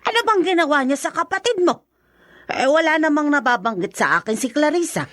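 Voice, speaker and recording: Lively, worried Filipino mother. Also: high voice, fairly quick, no room sound, studio quality. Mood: puzzled, worried. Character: concerned, inquisitive mother of grown children. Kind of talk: Filipino radio drama acting.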